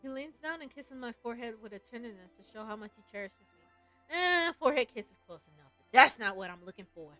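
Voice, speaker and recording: A woman with a fairly high voice streams a story game. She is playful and teasing, moving between casual chat and reading lines aloud. Light music plays behind her. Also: lively intonation, fast talker, sharp tone.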